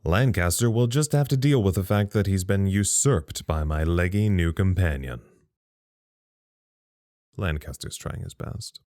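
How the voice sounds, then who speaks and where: A very low-voiced psychologist at a secret underground facility, recorded close and dry. He is weary and stubborn, musing with wry, dry humor in the manner of a reflective audio drama. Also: a little mellow, no echo.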